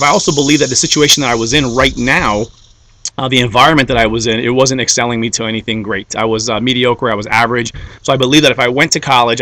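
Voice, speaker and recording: Young male motivational speaker, Greek first language, quick, bright, keeps asking "okay?", dry close sound. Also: mid-range voice, dry recording. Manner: repeats "okay?" and "guys", builds to rising fired-up climax. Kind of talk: motivational talk to followers.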